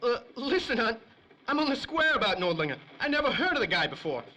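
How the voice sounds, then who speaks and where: A man's voice: extremely high pitch, sing-song, brisk, noisy background. An actor playing a nervous, shifty small-time crook and informant in a 1930s-style radio crime drama, with whiny, slangy wise-guy talk.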